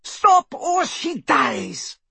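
A man's voice: very high-pitched, sing-song, extremely slow, gravelly, bright, forceful tone, no room sound, pitched above the performer's own voice, exaggerated voice. A man voicing a cruel, gloating witch with an imperious, theatrical delivery in a storybook narration. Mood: menacing. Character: cruel, gloating, shrieking witch, adult woman.

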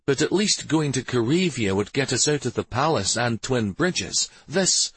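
A man acts out a young noblewoman from a fantasy audiobook. She is loving, teasing and hungry for adventure. Her voice sits high and swings expressively, with a slightly husky edge. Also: lively intonation, moderate pace, a bit bright, no room sound. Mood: yearning, playful. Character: adventurous, reassuring young noblewoman, a devoted wife.

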